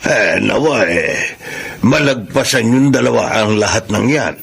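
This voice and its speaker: A man playing a practical older uncle in a radio drama, gravelly and husky, giving measured fatherly advice. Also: lowish voice, animated, a bit bright, exaggerated voice. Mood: fatherly, solemn. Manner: measured, advising.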